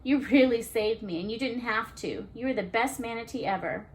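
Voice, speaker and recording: A woman's voice: a little high, moderate pace, a little hiss or hum, natural voice. Woman voicing a pleading, gushing young manatee girl. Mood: grateful, apologetic. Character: mean young female manatee turned frightened and apologetic.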